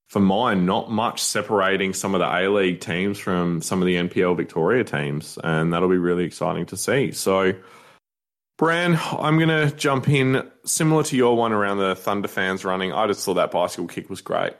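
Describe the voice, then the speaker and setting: A low-voiced man with an Australian accent, talking football on a podcast. He is enthusiastic and likes to tease his co-host in easy, casual chat. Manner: enthusiastic, teasing.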